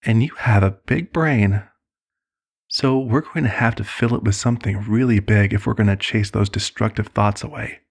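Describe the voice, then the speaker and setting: A man's voice: fairly low pitch, moderate pace, creaky. A man performing an ASMR roleplay as a serenely manipulative cult leader, soothing, condescending and persuasive. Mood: coaxing, reassuring.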